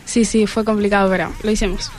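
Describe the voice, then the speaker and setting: A woman's voice: medium pitch, flat delivery, quick pace, a little mellow. A teenage young woman answering hesitantly and briefly in a casual interview. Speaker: student scriptwriter, interviewee.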